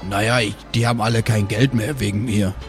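A man's voice: lowish voice, fairly even intonation, a little fast, soft background music, lightly acted. A man playing an exasperated, scolding brother in an improvised fantasy role-play audio drama. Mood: reluctant. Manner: scolding, reproachful.

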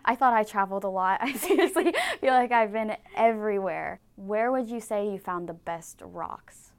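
A woman's voice: medium pitch, moderate pace, clean tone. A young woman interviewing an athlete, enthusiastic and chatty, laughing easily. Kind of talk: upbeat interview questions.

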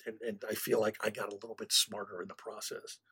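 A man chatting casually as a podcast panelist, halting yet animated, like someone telling stories. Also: lowish voice, breathy edge. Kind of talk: casual chat.

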